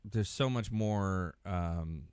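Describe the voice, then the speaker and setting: A man's voice: low-pitched, extremely slow, darkish tone, clear, resonant tone, no echo, clean studio sound. A man hosting a podcast in a casual, conversational and emphatic way. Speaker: podcast host.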